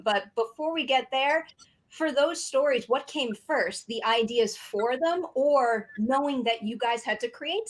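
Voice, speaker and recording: Higher-voiced woman moderating a panel. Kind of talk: upbeat interviewing.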